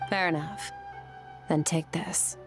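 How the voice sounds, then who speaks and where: A woman voicing a stoic, aloof young warrior in a video game, speaking in a cool, deadpan, measured way. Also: low voice. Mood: cool, detached. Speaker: game character voice. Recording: game dialogue over background music.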